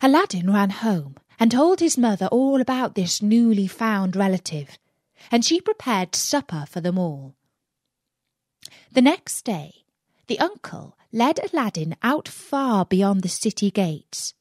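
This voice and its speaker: A woman narrating a scripted fairy tale for English learners in a deliberate, expressive storytelling manner. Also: mid-range voice, dry recording, studio quality. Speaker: audiobook narrator.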